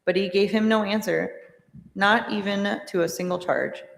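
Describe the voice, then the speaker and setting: A woman narrating a scripture drama in a church, with a measured, formal, solemn delivery. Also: mid-range voice, fairly even intonation, gravelly. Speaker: narrator of Passion reading. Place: large church, live reading. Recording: echoey church with long reverb.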